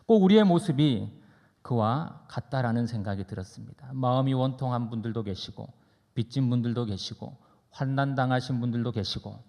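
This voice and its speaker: Gravelly male pastor in an echoing hall. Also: mid-range voice, fairly quick, a little mellow. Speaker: pastor preaching. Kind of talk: sermon with conversational anecdotes. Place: church sanctuary during a service. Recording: reverberant hall, long echo.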